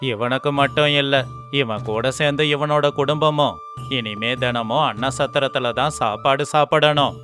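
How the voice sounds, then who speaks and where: A man playing a shrewd scholar on the throne in an animated moral story, commanding and measured, with mock sympathy. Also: medium pitch, soft background music, close to an everyday voice. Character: shrewd, sarcastic scholar sitting as king, commanding.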